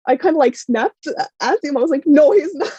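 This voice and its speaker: A woman with a strong Finnish accent and a fairly high, mellow voice tells a story in casual chat. She talks excitably, with many fillers, restarts and laughs. The sound is dry and a little dull. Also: brisk, no room sound. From Finland. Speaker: podcast guest.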